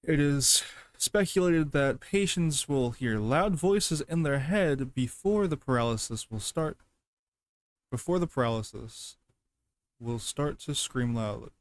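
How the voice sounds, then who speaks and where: A man reads aloud and reacts in an offhand, casual way. His pace is slow and his pitch sits in the middle. He stumbles over words and often doubles back to repeat them. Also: animated, dry recording. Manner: halting, stumbling, repeats himself. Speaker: reaction video host.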